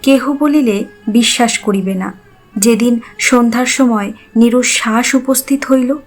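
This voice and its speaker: A woman narrator with a high-pitched voice reads literary prose in a scripted storytelling style. Music plays softly behind her. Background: background music.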